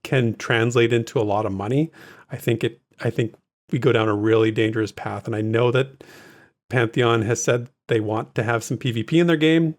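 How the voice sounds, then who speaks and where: A man with an average-pitched voice chats as a co-host on a gaming show. His delivery is informal and easygoing, like relaxed conversation between friends. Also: normal speed. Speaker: podcast co-host. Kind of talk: casual gaming discussion.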